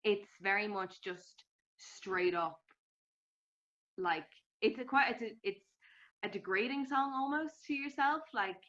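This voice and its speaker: A woman's voice: medium pitch, measured pace, fairly bright tone, muffled recording. A woman interviewing a guest in an enthusiastic, chatty way, full of fillers. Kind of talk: casual interview chat.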